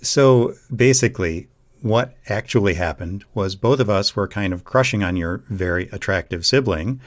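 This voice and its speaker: A man acting as a gentle, reassuring young boyfriend in an intimate audio roleplay, speaking in a soothing, affectionate way. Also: on the low side, normal speed, lightly acted. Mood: casual, happy.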